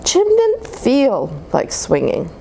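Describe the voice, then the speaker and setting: Female picture-book reader, higher-pitched and slow-paced, for children's storytime; noisy recording. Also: gravelly. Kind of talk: animated picture book read-aloud. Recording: noticeable steady background noise.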